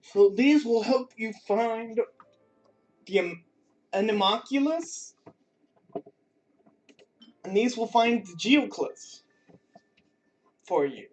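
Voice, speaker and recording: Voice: mid-range voice, animated, slow, pauses often, a little mellow, muffled recording, slight background noise. A gamer giving casual commentary while playing a video game. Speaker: gaming streamer.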